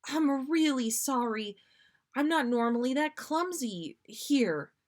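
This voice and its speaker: A woman's voice: sits high, animated, takes it slowly, voice pushed up. A woman voicing a mild, flustered man with an earnest, apologetic manner in a story reading.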